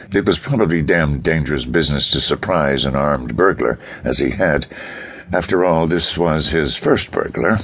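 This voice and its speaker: A man's voice: rumbling low, fairly bright tone, breathy edge. A man reading pulp fiction as an audiobook narrator, in a dramatic scripted style.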